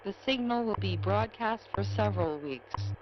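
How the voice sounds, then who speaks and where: A woman's voice: medium pitch, expressive, moderate pace. Woman announcer over dull, noisy shortwave radio. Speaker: radio time-station announcer. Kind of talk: scripted public announcement.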